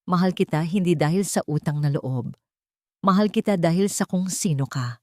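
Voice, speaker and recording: A woman's voice: on the low side, moderate pace, a little mellow, no room sound, clean studio sound, natural voice. A woman voicing an earnest, tender widowed father in an audio drama, speaking solemnly and sincerely.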